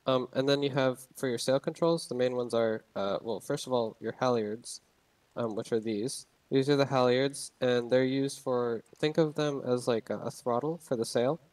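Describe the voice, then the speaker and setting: A man's voice: medium pitch, normal speed, darkish tone, no room sound. Young male narrator, loose unscripted tutorial talk, dry and close. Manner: rambling, many ums and restarts. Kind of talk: casual gameplay tutorial.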